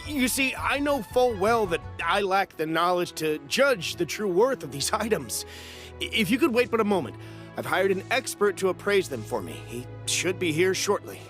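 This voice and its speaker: A man's voice: high-pitched, big pitch swings, normal speed, fairly bright tone, soft background music. A man playing a nervous, eager merchant in an anime game, stammering and ingratiating. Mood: pleading. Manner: fawning, stammering.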